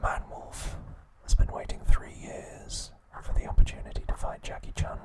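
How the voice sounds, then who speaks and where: Whispering man, soft ASMR narration. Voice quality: breathy, unvoiced whisper. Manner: hushed, measured, in short phrases. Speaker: ASMR host. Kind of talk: whispered ASMR narration, recounting episode summaries.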